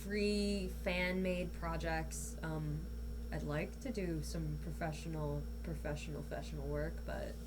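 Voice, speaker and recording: A woman's voice: low voice, fairly even intonation, measured pace. A woman chatting casually as a podcast guest, speaking bluntly and swearing freely. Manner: blunt, profane. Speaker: guest, amateur voice actor. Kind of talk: casual chat. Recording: audible background noise and hum.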